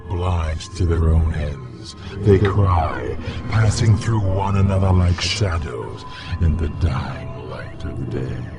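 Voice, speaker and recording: Very deep, slow ancient necromancer. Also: male voice, exaggerated voice. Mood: haunted, fervent. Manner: solemn, theatrical, deliberate oratory. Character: ancient male human necromancer villain, grandiose and coldly brooding. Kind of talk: dramatic video game character monologue.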